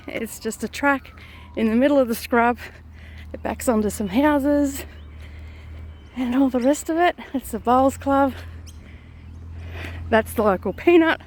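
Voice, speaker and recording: A middle-aged Australian woman vlogging into her phone camera, chatting in a rambling way with a croaky morning voice. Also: mid-range voice, a little hiss or hum. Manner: rambling, chatty, lots of fillers and asides. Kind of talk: casual chat to camera.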